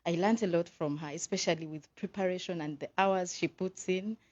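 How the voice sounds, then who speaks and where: Woman from Uganda, lowish voice, slightly dull audio; eager teacher guest. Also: fairly quick, darkish tone. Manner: enthusiastic and earnest. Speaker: visiting English teacher. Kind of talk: radio interview guest.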